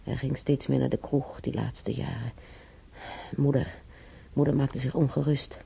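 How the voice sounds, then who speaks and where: A man playing an elderly German emigrant in a radio drama, reminiscing in a rambling, halting way with a German accent and husky, creaky voice. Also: medium pitch, fairly even intonation, mellow, full character voice. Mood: nostalgic. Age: older. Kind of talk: intimate radio drama monologue.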